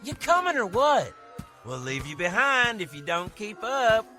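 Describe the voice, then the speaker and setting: A man playing a loud, jokey young prankster. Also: sits high, sing-song, measured pace, faint music behind, heavily acted. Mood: joking. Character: goofy, teasing young man, crude joker. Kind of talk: audio drama acting.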